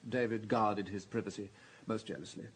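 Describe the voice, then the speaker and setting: Guarded, husky, stiffly proper businessman. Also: fairly low pitch, fairly even intonation, normal speed, low-quality recording. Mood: guarded, defensive. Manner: formal, hesitant, defensive. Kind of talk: radio drama acting.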